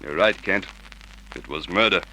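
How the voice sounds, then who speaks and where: A male actor playing a blunt, businesslike homicide sergeant in an old radio detective drama, firing questions gruffly. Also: low-pitched, normal speed, over loud music, lightly acted. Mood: skeptical, questioning. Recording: noisy vintage radio drama recording.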